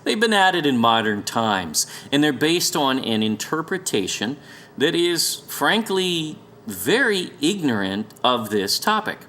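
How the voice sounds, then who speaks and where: A man's voice: mid-range voice, bright, forceful tone, slight background noise. A man presenting an explainer in an argumentative, sarcastic and emphatic conversational manner. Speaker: presenter of a documentary series. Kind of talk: argumentative explainer narration over maps.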